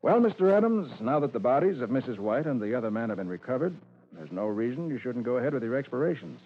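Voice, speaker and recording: An actor playing a confident, methodical private detective in an old radio drama, explaining with assurance. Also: male voice, mid-range voice, sing-song, quick pace. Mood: assured, explanatory. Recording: dull, noisy old radio recording.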